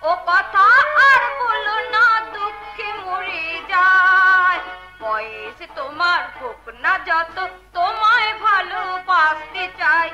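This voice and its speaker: A woman's voice: very high voice, animated, slow pace, pushed and bright, exaggerated voice. A woman playing a coquettish lover, singing in theatrical folk opera style over music. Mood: playful, loving. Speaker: singer in a Bengali jatra folk opera. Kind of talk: theatrical jatra stage singing. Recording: muffled lo-fi stage recording with music. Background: music accompaniment.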